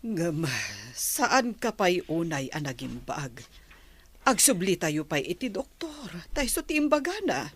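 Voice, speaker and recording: A woman's voice: fairly low pitch, very animated, slow pace, husky, full character voice. A woman playing a humble, protective mother who pleads for her child in a radio drama. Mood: soothing, coaxing. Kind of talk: Ilocano radio drama acting.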